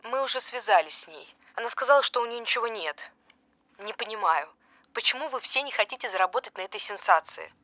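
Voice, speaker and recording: A woman's voice: fairly high pitch, lively intonation, fast, bright, forceful tone, dry recording. An actress playing a pushy, desperate female journalist in an audio drama, politely insistent in her questioning. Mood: pressing. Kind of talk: naturalistic audio drama acting.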